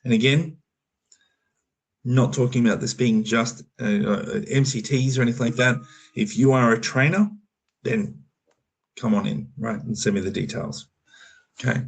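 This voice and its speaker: A man's voice: medium pitch, gravelly, husky. An Australian man talking loosely on a video call, explaining an opportunity with many fillers and restarts. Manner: rambling, full of fillers and restarts. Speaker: program manager on a community call. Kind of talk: casual explanatory talk.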